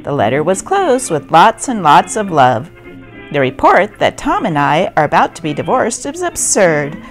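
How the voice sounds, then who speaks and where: Female narrator, slightly low voice, expressive theatrical newspaper reading, faint music behind. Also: normal speed. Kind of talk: scripted narration of old newspaper stories.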